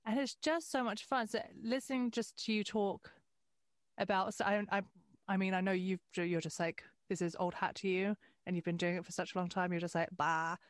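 A woman's voice: mid-range voice, steady tone, moderate pace, dry recording, clean studio sound. A woman new to voiceover chatting casually, enthusiastic and rambling. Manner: enthusiastic, rambling with fillers and restarts. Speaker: voiceover newcomer. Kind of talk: casual podcast chat.

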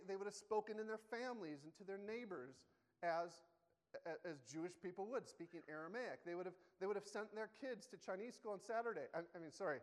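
A man preaching a sermon in a church, conversational and self-correcting, often asking the congregation questions. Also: high voice. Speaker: pastor. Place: church sanctuary. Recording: long hall reverb.

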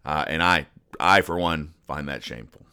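A man hosting a podcast in a casual, rambling commentary style, explaining jokes with deadpan mock-earnestness. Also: very deep voice, normal speed, clear, resonant tone. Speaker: podcast host.

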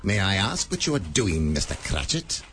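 An actor playing a bitter, miserly old moneylender in a radio play, clipped and scornful. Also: male voice, low voice, very animated, normal speed, fairly bright tone, slight background noise. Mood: cold.

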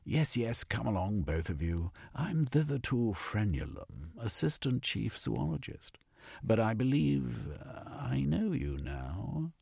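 A man's voice: mid-range voice, very animated, vocal fry, darkish tone, husky, no echo, studio quality, pitched above the performer's own voice, exaggerated voice. Man doing a haughty, drawling scientist official. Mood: measured. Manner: haughty, measured. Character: supercilious tall male zoologist official.

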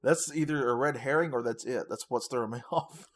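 A man's voice: mid-range voice, a little fast. A man co-hosting a casual gaming podcast, answering trivia in an easygoing way and laughing a lot. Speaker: podcast co-host answering quiz. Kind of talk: casual retro gaming chat.

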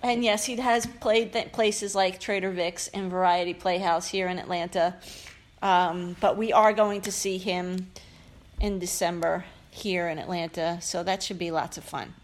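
A woman's voice: fairly low pitch, steady tone, measured pace. A woman co-hosting a podcast in casual chat, easygoing and affirming. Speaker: podcast co-host.

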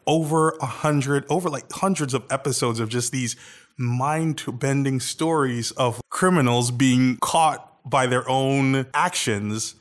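A man's voice: medium pitch, measured pace. A man co-hosts a casual podcast chat, talking with eager enthusiasm. His voice sits in an ordinary mid range. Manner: enthusiastic, chatty. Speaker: podcast co-host.

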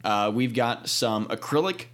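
A young man co-hosting a casual podcast, his voice in a middle pitch. He talks plainly and steadily, working through announcements with hesitant fillers. Nothing about his delivery is showy. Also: animated, measured pace, fairly bright tone. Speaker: podcast co-host.

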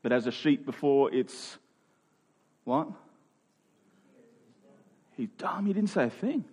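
A man's voice: a little high, sing-song, slow pace, gravelly, darkish tone, breathy edge. A man preaching a sermon in a conversational way, building to emphatic peaks. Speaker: pastor.

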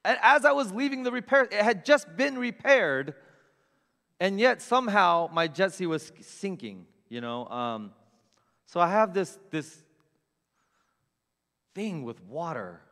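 Guy preaching, kinda high voice, gets loud telling stories on himself, big echoey church. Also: unhurried, gravelly, long pauses. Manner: animated, self-mocking storyteller with big swings in loudness. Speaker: pastor. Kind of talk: storytelling sermon. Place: church sanctuary with long reverb.

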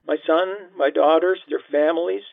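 A man's voice: on the high side, steady tone, moderate pace, creaky, dry recording, phone-quality sound, pitched above the performer's own voice. A man voicing a lonely elderly woman in a nursing home, her voice quavering and tearful. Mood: sad, tearful.

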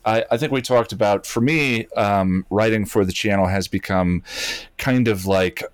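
A man's voice: lowish voice, normal speed, clear, resonant tone, dry recording. A man in reflective casual conversation, searching for his words and speaking hesitantly about his own experience. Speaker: video channel creator.

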